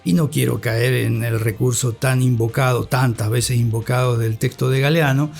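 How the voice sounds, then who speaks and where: An Argentine man speaking thoughtfully as an interview guest and radio scholar, with deliberate delivery and a formal, learned vocabulary. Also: mid-range voice, steady tone, vocal fry, darkish tone, faint music behind.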